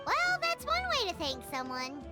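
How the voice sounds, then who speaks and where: A woman voicing a tiny, cheeky fairy companion in a video game, with a squeaky, childlike, perky delivery. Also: wildly swooping pitch, moderate pace, over loud music, full character voice. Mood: teasing.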